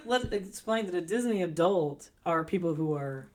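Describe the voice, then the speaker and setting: A woman co-hosting a casual podcast, hoarse after losing her voice, chatty and quick to laugh. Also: fairly low pitch, animated, a little mellow. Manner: chatty, laughs often, repeats words. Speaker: podcast co-host. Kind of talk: casual chat.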